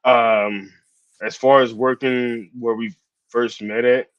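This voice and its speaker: A man chatting as a podcast guest, laid-back and repeating himself, with a hoarse edge. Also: medium pitch, flat delivery, takes it slowly, fairly bright tone, no room sound. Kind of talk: casual chat. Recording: dry, dull lo-fi sound.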